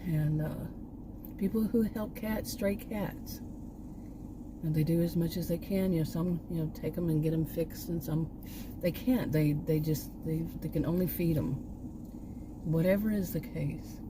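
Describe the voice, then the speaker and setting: A woman vlogger chatting casually, meandering and restarting her sentences, her voice going hoarse. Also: sits low, fairly even intonation, a little slow, background noise. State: losing her voice. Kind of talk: rambling casual chat. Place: outdoors.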